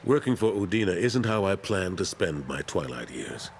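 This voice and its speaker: A man's voice: deep voice, big pitch swings, normal speed, slight background noise. A man playing a loyal, weary older military statesman in a sci-fi video game. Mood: weary, reflective.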